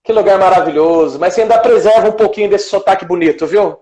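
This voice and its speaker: A man with a high-pitched voice hosts a casual online conversation. His delivery is effusive and colloquial, addressing guest and viewers in an informal, conversational way. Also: quick pace, warm tone, poor audio. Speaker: live show host. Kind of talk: casual interview chat.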